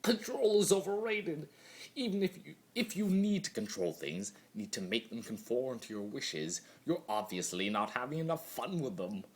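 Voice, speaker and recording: A man performs a mad male spirit of chaos in a dramatic fan-fiction monologue. The delivery is theatrical and very animated, with a mid-range pitch that leaps and dips widely. The character sounds all-powerful yet trapped, swinging between manic glee and hushed brooding. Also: moderate pace, lightly acted.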